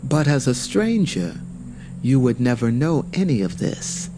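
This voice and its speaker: An actor playing a solemn storyteller of ancient sacred lore, speaking in a measured, reverent and dramatic way. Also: male voice, medium pitch, sing-song. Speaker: storyteller narrator. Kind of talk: solemn scripted mythic narration. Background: prominent music.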